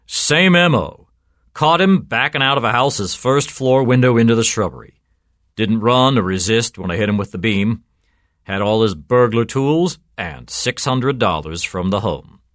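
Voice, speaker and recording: A man voicing a cynical, gruff police sergeant in a story, clipped and sardonic in his delivery. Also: lowish voice, normal speed, fairly bright tone, no room sound, clean studio sound, lightly acted. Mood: smug, mocking. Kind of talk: storytelling character voice.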